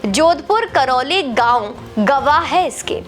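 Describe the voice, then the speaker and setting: A woman's voice: high voice, expressive, slow pace, faint music behind. An Indian woman delivering an opinionated political monologue to the viewer, combative and lecturing, mixing in English words. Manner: combative, lecturing, rhetorical questions; mixes English words and phrases into the talk. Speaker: political commentator.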